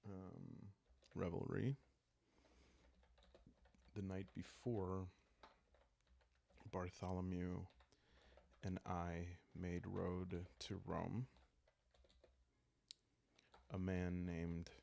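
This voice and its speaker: A man speaking softly and calmly in ASMR style, improvising a story aloud as he hosts a solo roleplaying game, thinking out loud with long pauses. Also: sits low, gravelly. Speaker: host playing a solo journaling roleplaying game.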